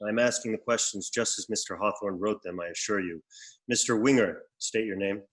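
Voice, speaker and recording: An actor playing a severe, emotionless executor who speaks formally and precisely in a scripted comedy table read. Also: male voice, fairly low pitch, brisk, lightly acted. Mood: coolly interrogating. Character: severe, emotionless executor in a suit, coolly officious. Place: remote video call.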